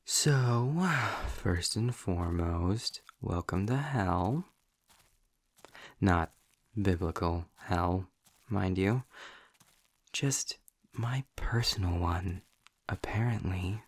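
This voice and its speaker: A man's voice: expressive, very slow, long pauses, dry recording, full character voice. A man playing a snarky, impatient male demon in an audio roleplay, speaking to the listener with theatrical, sardonic condescension. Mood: sardonic, commanding. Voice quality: low, gravelly, husky with breathy drops. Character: snarky, impatient male demon trapped in his own hell.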